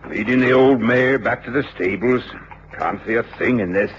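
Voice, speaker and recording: An actor playing an obliging, deferential working-class London cabby in a period radio drama. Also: male voice, mid-range voice, normal speed, close to an everyday voice. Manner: deferential, chatty, plain-spoken. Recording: dull, noisy radio drama recording.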